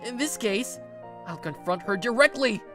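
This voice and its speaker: A woman's voice: fairly high pitch, animated, moderate pace, music in the background, close to an everyday voice. Woman acting exasperated young office guy, widely swinging delivery. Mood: guarded, resigned. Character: exasperated young male office recruit, stubbornly guarded. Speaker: narrator and lead of a manga dub. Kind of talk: dramatized first-person story narration and dialogue.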